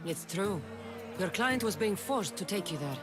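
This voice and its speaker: A woman's voice: medium pitch, animated, normal speed, over music, lightly acted. A woman playing a tough, protective young mercenary in a video game, dry and defiant. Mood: stern, reassuring.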